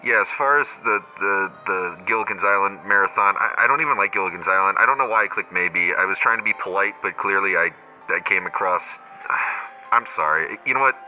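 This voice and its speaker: A man's voice: deep voice, a little fast, sharp tone, poor audio. A man playing an exasperated, blunt, foul-mouthed caller in an improvised comedy voicemail skit. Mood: weary, apologetic. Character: exasperated, foul-mouthed man phoning his clingy friend.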